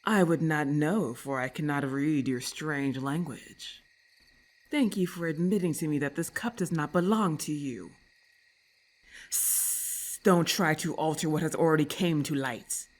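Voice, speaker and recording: A woman's voice: low-pitched, measured pace. A woman voicing a haughty, irritable lamia in an ASMR roleplay, with hissing, imperious and formal speech, scornful and menacing. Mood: accusing.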